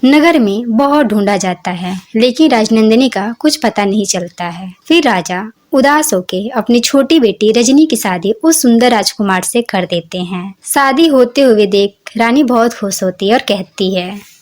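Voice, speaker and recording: A woman narrating a children's fairy tale with plain, steady storytelling. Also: a little high, unhurried.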